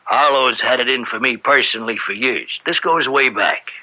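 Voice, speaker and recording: An actor playing an aggrieved, scheming crime boss in a radio drama, talking in rough streetwise grammar and pressing his questions. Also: medium pitch, moderate pace, poor audio. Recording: bright old-time radio recording.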